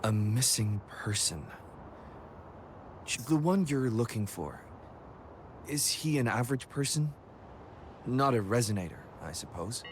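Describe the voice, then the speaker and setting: An actor playing a guarded, stern frontline soldier in a video game, speaking firmly and matter-of-factly. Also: male voice, mid-range voice, expressive, a little slow, pauses often, slight background noise, lightly acted. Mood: guarded, questioning.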